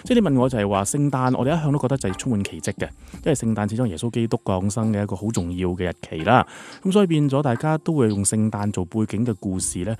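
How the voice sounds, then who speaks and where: A man with a Hong Kong Cantonese accent talks through a film on a radio show, his voice low and mellow. He explains his views in a calm, measured way from the studio mic. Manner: measured, explanatory, with acted quotes of characters. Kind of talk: casual radio film chat.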